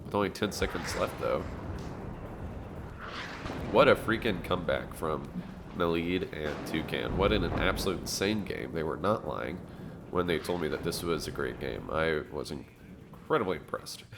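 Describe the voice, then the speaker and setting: A man commentating a strategy game match, sounding nasal from allergies, reacting excitedly to the action. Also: very deep voice, gravelly, background noise. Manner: excitable, fast reactions and laughs. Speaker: gameplay commentator.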